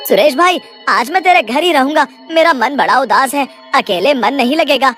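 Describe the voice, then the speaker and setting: A woman's voice: sits high, normal speed, fairly bright tone, faint music behind. A woman voicing a gloomy, complaining village man, someone's friend, in a cartoon story. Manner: plaintive, complaining.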